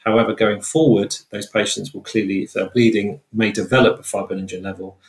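A British man explaining research as an interview guest in a measured, careful way. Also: on the low side, steady tone. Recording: roomy, echoey sound.

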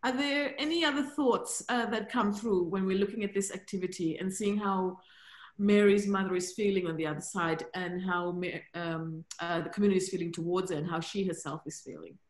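A woman's voice: mid-range voice, fairly quick. A woman facilitating an online workshop over a video call, explaining and inviting people to join in. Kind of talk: informal spoken workshop facilitation. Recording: echoey room on video call.

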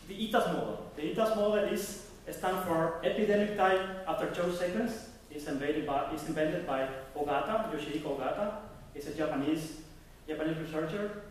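A young man presents research at a conference, pausing and restarting as he searches for words. His voice sits a little high for a man. He is recorded from a distance in a very echoey hall, with a faint hiss under it. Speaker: conference speaker. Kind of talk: academic presentation.

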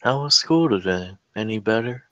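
Man reading as a weary mother, low-voiced. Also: lively intonation, normal speed, sharp tone, no echo, lo-fi sound. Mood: caring. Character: weary working mother, concerned and principled. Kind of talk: table read of a TV drama script.

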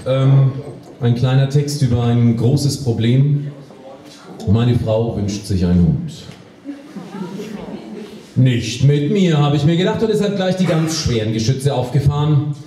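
A man performs a humorous spoken-word text on stage, deadpan, with theatrical pauses and emphasis. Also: mid-range voice. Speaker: poetry slam performer. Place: small club stage with live audience. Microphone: stand microphone on stage, picked up by distant camera. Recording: very echoey hall, distant camera microphone, audience.

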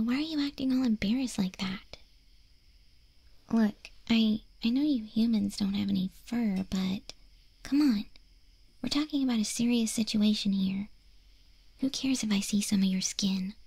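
A young wolf girl, played by a woman, speaks softly in an ASMR roleplay. Her voice is mellow and breathy, often close to a whisper, and she takes her time. She sounds caring and a little teasing, very near and dry on the microphone. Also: fairly high pitch, no echo. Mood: teasing, reassuring. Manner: soft, breathy half-whisper, gentle and unhurried. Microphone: close, dry studio microphone.

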